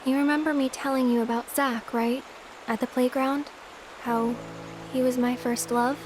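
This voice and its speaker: A woman voicing a candid, wistful young woman in a video game, talking in a soft, conversational way. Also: sits high, steady tone, moderate pace, background noise, natural voice. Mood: regretful, wistful. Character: candid, warm-hearted young woman, wistful and gently teasing. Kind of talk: English-language video game voice acting.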